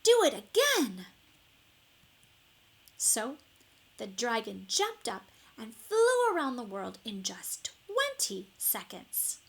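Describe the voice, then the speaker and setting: A woman reads a children's story aloud in a higher-than-average voice. Her narration is slow and expressive, leaning on key words for dramatic emphasis. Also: vocal fry. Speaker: storybook reader.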